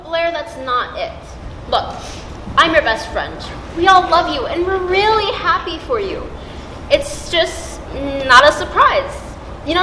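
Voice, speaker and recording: Bright, high, frank schoolgirl played by a woman. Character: supportive, frank high school best friend. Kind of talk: amateur school stage play acting. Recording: reverberant hall with faint noise.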